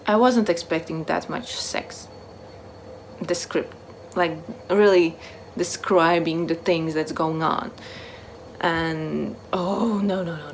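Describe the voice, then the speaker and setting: A woman book vlogger chatting casually to camera in short, halting phrases that trail off softly. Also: fairly low pitch, a little hiss or hum, low-quality recording. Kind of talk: casual chat to camera, answering a book tag.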